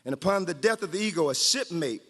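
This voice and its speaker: A man's voice: fairly high pitch, expressive, quick pace, a bit bright, husky. A man reading a story aloud to a group as a guest storyteller, playful and dramatic, with joking asides. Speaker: guest storyteller, author and historian. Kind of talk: animated read-aloud storytelling to live group. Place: large hall at a training event. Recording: reverberant hall.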